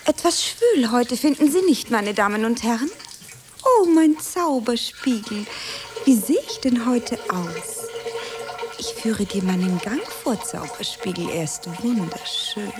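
A woman's voice: on the high side, animated, unhurried, darkish tone, soft background music. A woman playing a dreamy, self-dramatizing young aspiring actress in a radio play, theatrical and whimsical in her delivery. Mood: playfully grand. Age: young adult.

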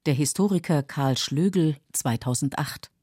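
Mellow, husky woman narrator. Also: deep voice, unhurried, no echo, clean studio sound. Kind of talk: scripted documentary narration.

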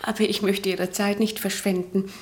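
A woman's voice: medium pitch, level tone, normal speed, darkish tone, natural voice. Woman acting an earnest, troubled patient. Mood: hesitant, despairing. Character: earnest, troubled female patient, polite and self-doubting. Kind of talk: German radio play acting.

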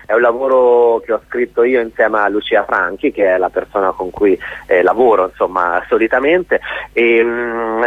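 A man's voice: medium pitch, no room sound. A man being interviewed on radio as a guest playwright and director, explaining at length in a reflective, articulate way over the telephone. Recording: muffled phone-line sound.

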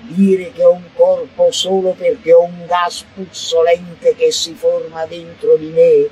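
A man delivers a stage monologue with slow, weighty phrasing. He lurches between sudden shouts and near whispers. A faint hiss sits under the radio recording. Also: high-pitched, monotone, low-quality recording. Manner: intense, swings from shouts to hushed murmurs. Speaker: actor reciting a monologue. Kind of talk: theatrical recitation. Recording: faint noise, radio broadcast.

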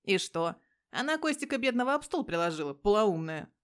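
A woman's voice: medium pitch, sing-song, fairly quick, smooth, lightly acted. A woman voicing a prickly male police major in an audio story, indignant, slangy and emphatic. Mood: defensive, irritated. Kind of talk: audio story character dialogue.

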